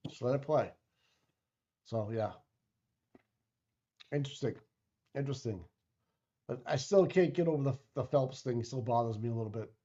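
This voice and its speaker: A man from the Boston area co-hosting a casual live stream, talking in a rambling, halting way and swearing casually. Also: mid-range voice, dark tone, lo-fi sound. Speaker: co-host.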